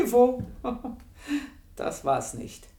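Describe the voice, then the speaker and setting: A woman's voice: fairly high pitch, wildly swooping pitch, mellow, roomy sound, full character voice. An actress playing an earthy, proud, aging housemaid, confiding her memories in a radio play monologue. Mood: mocking. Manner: confiding, unhurried storytelling with pointed pauses. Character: earthy, proud aging housemaid reminiscing about past desires.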